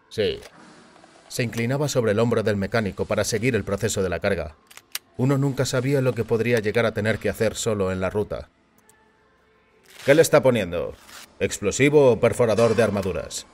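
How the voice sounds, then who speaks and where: A man's voice: fairly low pitch, darkish tone, slight background noise. A man reading a short story as scripted audiobook narration. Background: music.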